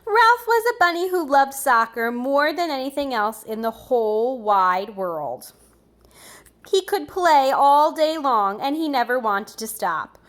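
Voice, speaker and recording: A woman teacher reading a storybook aloud to young children expressively, pausing to explain and comment. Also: sits high, very animated, fairly bright tone. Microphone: recorded on camera for a video.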